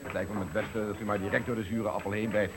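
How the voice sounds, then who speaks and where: A man's voice: lowish voice, flat delivery, fast talker, heavy background noise, lightly acted. A man playing a pompous, patronizing company director, speaking with unctuous ceremony in a Dutch radio play. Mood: jovially patronizing. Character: pompous, patronizing male company director giving farewell speech. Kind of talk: Dutch radio play, formal speech acting.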